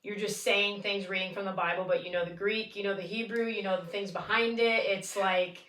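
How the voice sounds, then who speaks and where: A young woman hosts a casual podcast chat, upbeat and quick to laugh. Her voice sits a little back from the microphone, and the room gives it a noticeable echo. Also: medium pitch, fairly even intonation, bright, forceful tone. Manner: chatty, upbeat, laughs often. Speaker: podcast host. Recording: roomy echo, mic at a distance.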